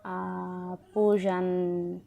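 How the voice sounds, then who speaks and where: A woman who speaks haltingly as an interviewee, searching for words. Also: lowish voice, flat delivery, creaky, mellow.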